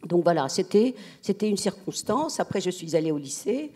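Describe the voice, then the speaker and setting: A woman writer on a literary panel, telling her own story in a soft-spoken, hesitant way, searching for her words. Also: on the low side, big pitch swings, moderate pace, husky. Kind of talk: personal storytelling in panel talk. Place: theatre stage at a public literary event.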